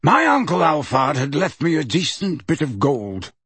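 A man plays a bitter grown man who answers coldly and impatiently, his pitch moving a lot. The voice is husky and dry. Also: wildly swooping pitch, moderate pace, fairly bright tone, dry recording, full character voice. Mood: cold, curt. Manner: cold, curt, impatient. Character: bitter cold-spoken grown man, house heir.

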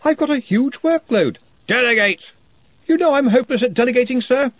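Guy putting on a panicky young wizard, way high and fretful, husky. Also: big pitch swings, a little fast, darkish tone, dry recording, heavily acted. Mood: flustered. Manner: fretful, flustered. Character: anxious overworked young male wizard.

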